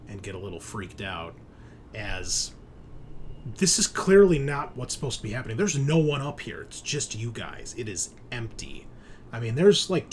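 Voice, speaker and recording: A man's voice: lowish voice, a little hiss or hum. A man narrating a sci-fi horror tabletop game as game master in a casual, descriptive, explanatory way.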